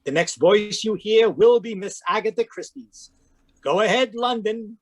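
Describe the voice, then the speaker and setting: A man's voice: high-pitched, unhurried. A man announcing an old-time radio mystery show in a grand, declaiming style over a video call. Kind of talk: 1940s radio acting.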